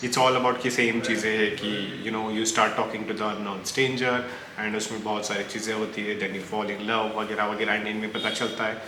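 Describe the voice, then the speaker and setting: A man's voice: lowish voice, little pitch movement, very fast. A man with an Indian accent chatting casually and opinionatedly about books, rambling and mixing Hindi into English. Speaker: book reviewer reacting to recommendations. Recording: echoey room with clear background noise.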